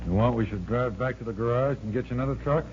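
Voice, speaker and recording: A man's voice: medium pitch, quick pace, a little mellow, natural voice. Man playing uncouth workman crook, muffled old radio. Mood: casual. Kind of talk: 1940s radio acting. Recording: muffled, hissy 1940s radio recording.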